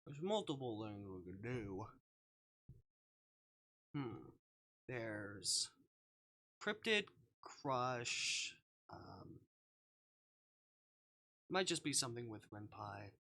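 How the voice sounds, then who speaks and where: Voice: medium pitch, sing-song, extremely slow, pauses often, sharp tone. A live streamer chatting casually while playing visual novels, rambling and thinking aloud.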